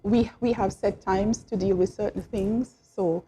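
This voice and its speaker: A woman's voice: medium pitch, steady tone, measured pace, mellow, a little hiss or hum. A middle-aged woman, chatting as a talk-show guest and laughing often as she tells her story. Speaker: calypso singer and guest. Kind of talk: casual interview chat.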